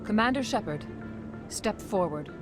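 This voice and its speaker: A woman playing a dignified, diplomatic alien councilor in a video game, with formal, measured diction. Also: mid-range voice, expressive, lightly acted. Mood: solemn, ceremonial. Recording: game cinematic music behind voice.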